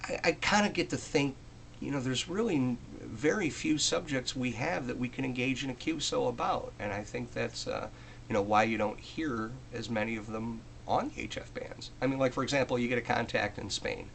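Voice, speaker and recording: A man's voice: mid-range voice, a little fast, vocal fry, fairly bright tone, slight background noise. An American man talking casually and off the cuff as a hobbyist vlogger, conversational and a little self-deprecating. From United States. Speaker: amateur radio hobbyist presenting a video. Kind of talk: casual unscripted vlog talk.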